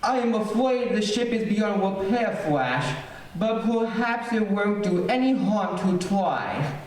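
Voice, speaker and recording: A man playing a gallant but gloomy prince in an old-time radio serial, with a measured, theatrical delivery. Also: sits high, expressive. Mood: resigned. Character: gallant, gloomy alien prince, loyal ally. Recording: echoey stage, distant mic, faint noise.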